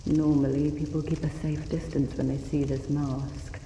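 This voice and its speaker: A woman's voice: deep voice, level tone, normal speed, mellow, roomy sound, big-room sound, slight background noise, close to an everyday voice. A woman playing a guarded, aloof wandering knight in a fantasy video game.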